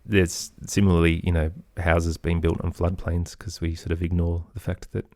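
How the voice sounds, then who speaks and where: A man with an Australian accent, talking as an interview guest in an agreeable, conversational way with softened phrasing. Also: low-pitched, level tone, moderate pace, no room sound. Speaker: podcast guest, musician and composer.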